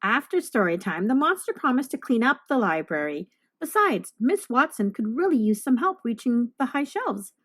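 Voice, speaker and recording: A woman narrating a children's picture book aloud in an expressive storytime style. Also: fairly high pitch, moderate pace, few pauses. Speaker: storybook reader, host.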